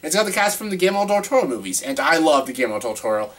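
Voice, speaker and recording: A man with a cold and a stuffed-up nose, chatting excitedly and rambling through a casual top-ten video. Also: on the high side, rapid-fire. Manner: excitable, rambling, repeats himself. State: congested with a cold. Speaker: vlogger ranking films.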